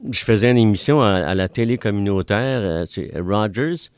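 A man's voice: fairly low pitch, moderate pace, clear, resonant tone, no echo. An older Franco-Ontarian man with a Franco-Ontarian accent, chatting as a guest in a radio studio, rambling with many fillers. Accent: Franco-Ontarian French. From Ontario, Canada. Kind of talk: casual interview answers. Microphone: studio mic.

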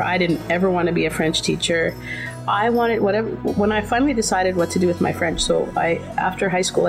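A woman's voice: lowish voice, vocal fry, low-quality recording. A middle-aged woman whose first language is Finnish, answering interview questions in a thoughtful way, with many fillers and restarts.

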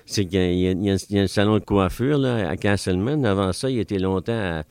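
A man's voice: low-pitched, fairly quick, darkish tone, smooth, dry recording. An older Franco-Ontarian man with a Franco-Ontarian accent, chatting as a guest in a radio studio, rambling with many fillers. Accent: Franco-Ontarian French. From Ontario, Canada. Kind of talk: casual interview answers. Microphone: studio mic.

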